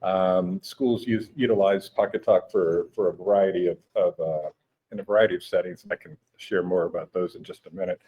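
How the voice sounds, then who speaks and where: A man in his forties whose first language is Spanish, explaining things in a relaxed interview with many hesitations and restarts. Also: deep voice, a little fast, slightly rough, lo-fi sound. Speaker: guest, education technology company director. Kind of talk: conversational interview. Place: conference venue.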